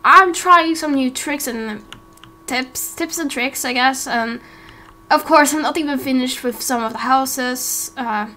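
High-voiced, slow-talking young-sounding woman. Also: bright, forceful tone, slight background noise. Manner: hesitant, apologetic. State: tired. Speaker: gaming video creator. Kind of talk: casual unscripted chat to viewers.

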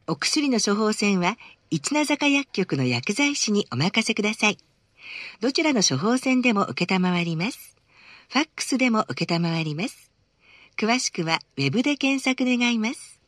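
A woman's voice: fairly high pitch, very animated, moderate pace, a bit bright, dry recording, studio quality. A woman reading scripted advertising announcements for a bus, politely and formally.

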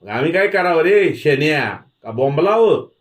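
A man's voice: mid-range voice, expressive, measured pace, far from the mic, pitched above the performer's own voice, lightly acted. A man voicing a sneering village landlord with a taunting rustic manner in a dramatic story reading. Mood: mocking. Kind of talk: dramatic audiobook character voice.

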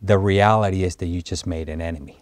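A man in his fifties with a Cuban Spanish accent, telling his story in a measured, reflective way in a sit-down interview. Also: deep voice, steady tone, dry recording. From Cuba. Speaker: interviewee, business executive. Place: empty movie theater, indoors. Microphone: lapel mic, close framing.

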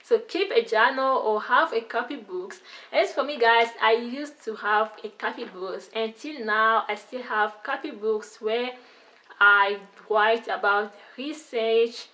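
A young woman talks straight to camera from a plain indoor wall, her English carrying a light French accent from years of French schooling. Her voice sits a little high and stays upbeat and friendly. She keeps calling her viewers "guys.". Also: measured pace, sharp tone. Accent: French-influenced English. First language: not English; schooled in French. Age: young adult. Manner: upbeat, smiling, often says "you know, guys". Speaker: YouTuber giving language tips. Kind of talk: casual talk to camera.

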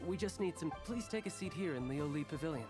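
A courteous male guild servant acted by a man in a game. He speaks earnestly and formally, deferential yet businesslike, with music clearly playing behind him. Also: a little high, lively intonation, fast, natural voice. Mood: deferential, flustered. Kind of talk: video game character acting.

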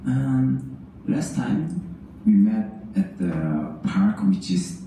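A Korean-native man works haltingly through a recorded English exam answer, full of pauses and fillers. His voice sits very low and sounds dark. The recording is played back into a reverberant classroom and picked up from a distance. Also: very animated, a little hiss or hum. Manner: slow, halting, with many fillers. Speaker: student answering an exam question. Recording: roomy sample played over speakers, far.

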